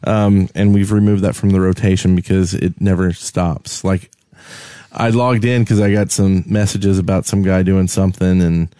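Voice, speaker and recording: A man with a lowish voice tells gaming anecdotes as a podcast co-host. He talks conversationally and slips into quoted dialogue. The sound is close and dry, with no room around him. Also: fairly even intonation, moderate pace, mellow, clean tone, no echo. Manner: conversational, acts out dialogue in stories. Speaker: podcast co-host and game server admin. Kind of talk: casual chat, telling gaming anecdotes.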